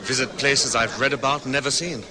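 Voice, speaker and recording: An actor playing a guarded young traveller. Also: male voice, mid-range voice, fairly quick, a crowd in the background, natural voice. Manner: polite, reserved, evasive. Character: guarded, well-off young man from Australia. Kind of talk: 1950s radio drama acting.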